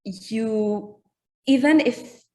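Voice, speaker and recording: A woman with a French accent speaks slowly and thoughtfully as a guest in an interview. She often pauses to find her English words. Her native language is French. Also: on the high side, dark tone, rough recording. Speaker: musician guest. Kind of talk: casual interview chat.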